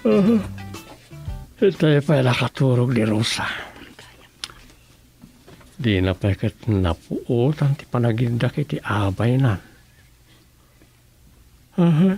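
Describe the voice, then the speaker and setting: A man's voice: medium pitch, very animated, slow, gravelly, long pauses, mellow, faint music behind, full character voice. A man playing a lovesick, plaintive village husband who mutters to himself in a radio comedy drama. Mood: drowsy musing.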